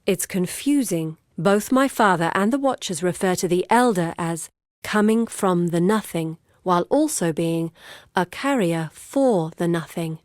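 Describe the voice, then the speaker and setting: A woman playing an earnest, scholarly young daughter in a video game, speaking in a measured, formal, articulate way. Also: fairly low pitch, expressive, darkish tone, dry recording, studio quality. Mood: serious, pondering. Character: earnest scholarly young woman, determined daughter seeking her lost father. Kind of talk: scripted video game character dialogue.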